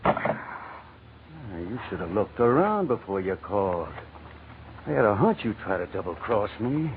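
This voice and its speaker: An actor playing a cocky, menacing crook in an old-time radio crime drama, with a tough, slangy, clipped delivery. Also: male voice, lowish voice, very animated, a little slow. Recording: tinny, noisy vintage radio recording.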